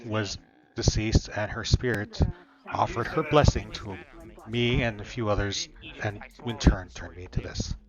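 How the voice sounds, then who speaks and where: A man's voice: on the low side, unhurried, muffled recording, crowd noise. A man speaking casually and off the cuff in an improvised online roleplay. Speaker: streamer playing in an online roleplay. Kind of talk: casual improvised in-character chat.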